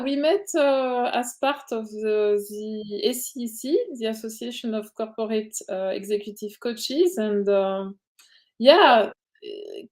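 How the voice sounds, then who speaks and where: European woman interviewer; slightly high, slow, halting delivery on a call. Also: a little mellow. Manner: halting, frequent fillers and restarts. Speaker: interviewer, coach. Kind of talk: hosting an online interview.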